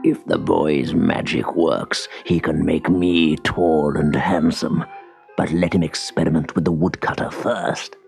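A storyteller voicing an evil, pompous toad baron in a children's story, snarling and scheming with a drawn-out, threatening delivery. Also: steady tone, a little mellow, faint music behind, full character voice. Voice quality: low, croaky, gravelly.

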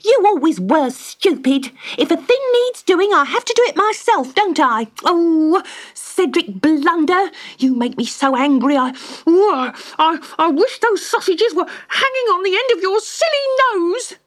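A woman voicing Magda, an excitable, bossy wife who squeals and scolds dramatically. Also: high voice, measured pace, slightly rough, voice pushed up, full character voice. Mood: furious.

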